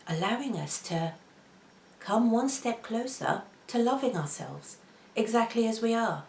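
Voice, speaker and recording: A middle-aged woman speaks very softly and unhurriedly, with a gravelly edge to her fairly low voice. She talks to the camera from a seated spot in her living room, and the recording carries a noticeable room echo. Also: slight background noise. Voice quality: very soft voice. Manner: speaks slowly and gently, gestures with both hands. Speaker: vlogger. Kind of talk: reflective personal talk to camera. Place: home living room, indoors. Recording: roomy echo.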